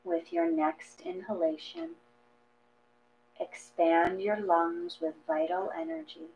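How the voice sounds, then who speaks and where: Slow-paced woman guiding a meditation. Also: on the low side, sing-song, vocal fry. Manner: gentle, measured, with long pauses between phrases. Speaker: guided meditation reader. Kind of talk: slow guided meditation, read from a script. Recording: dull lo-fi audio with mains hum.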